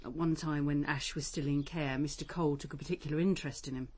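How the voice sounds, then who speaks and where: A policewoman in a radio drama. Her voice is a little low and composed, and she questions with polite insistence. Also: fairly even intonation, fast talker, darkish tone, slightly rough. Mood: composed, insistent.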